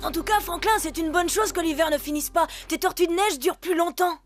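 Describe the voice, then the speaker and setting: A very high-voiced young animal boy from a cartoon. He talks matter-of-factly, a bit of a tease, with music clearly underneath. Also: fairly quick. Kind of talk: French cartoon dubbing. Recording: music behind the voice.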